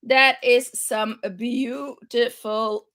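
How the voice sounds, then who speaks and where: Slow-talking higher-pitched woman, playful chat. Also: steady tone, fairly bright tone. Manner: unhurried, halting, searching for English words; playful, giggly. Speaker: craft live-stream host. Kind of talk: casual live-stream chat.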